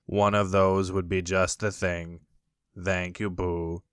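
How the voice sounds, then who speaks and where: A man voicing a gloomy, sarcastic old donkey who drawls slowly and deadpan. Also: sits low, clean tone, no room sound, studio quality, pitched below the performer's own voice. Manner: slow, flat, deadpan drawl.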